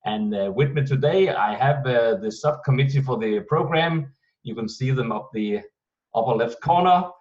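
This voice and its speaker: A man hosting an online team meeting, welcoming people and announcing event details, pausing often as he searches for words. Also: mid-range voice, a little mellow. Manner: halting, many pauses while searching for words. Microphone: video call. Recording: muffled video-call audio.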